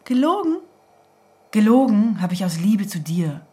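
An actress playing a quick-witted, teasing woman in her thirties in a radio drama, with sardonic comebacks. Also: mid-range voice, sing-song, dark tone. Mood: indignant. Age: 30s. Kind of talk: naturalistic radio drama acting.

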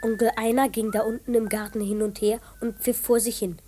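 A woman's voice: fairly high pitch, brisk, a little hiss or hum, lightly acted. A woman voicing an eager, confiding twelve-year-old boy detective who narrates a children's radio play. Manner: confiding, excitable, whispered asides.

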